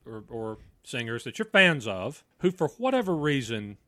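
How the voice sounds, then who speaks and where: A man with a cold, hoarse voice, chatting on a podcast as a guest with dry, sarcastic humor. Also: mid-range voice, moderate pace, no room sound. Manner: joking, sarcastic, deadpan. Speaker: podcast guest, host's brother. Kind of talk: casual podcast chat.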